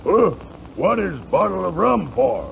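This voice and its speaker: A man playing a terse, halting chief in 1940s radio comedy, bargaining in clipped phrases. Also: high voice, sing-song, gravelly, exaggerated voice. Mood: gruff, puzzled. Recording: muffled noisy 1940s radio broadcast.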